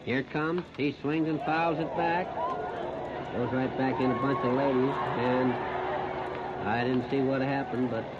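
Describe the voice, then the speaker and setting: A man's voice: mid-range voice, steady tone, unhurried. An American man calls live baseball play-by-play on the radio in short, plain-spoken, folksy phrases. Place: outdoor ballpark. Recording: noisy, muffled 1930s radio broadcast recording.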